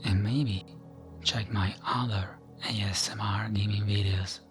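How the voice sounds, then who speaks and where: A man narrating bedtime lore in ASMR style, with a soft, breathy near-whisper and a gentle, soothing, measured delivery. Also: on the low side, steady tone. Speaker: ASMR gaming narrator and channel host. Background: music.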